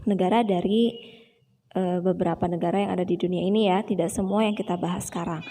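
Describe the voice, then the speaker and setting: A young woman teaching English to primary school children, slowly and carefully repeating each example sentence. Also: fairly low pitch, steady tone, warm tone, slight background noise. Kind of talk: teaching English in a video lesson. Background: background music.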